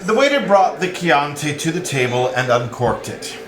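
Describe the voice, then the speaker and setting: A man's voice: fairly high pitch, sing-song, normal speed, echoey room, very noisy. A man narrating a novel as a scripted reading.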